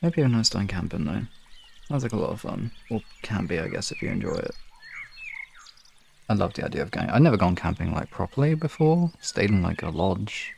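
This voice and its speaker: A man's voice: low voice, big pitch swings, brisk, vocal fry, a little mellow, slight background noise. A man chatting casually as a live streamer, rambling and correcting himself with mild swearing. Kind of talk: casual livestream chat.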